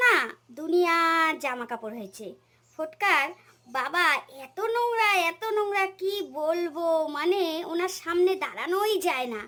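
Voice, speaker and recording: A woman's voice: extremely high pitch, fairly bright tone. A woman voicing a harried village housewife and mother who chats and complains in a comedy cartoon. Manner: complaining, chatty.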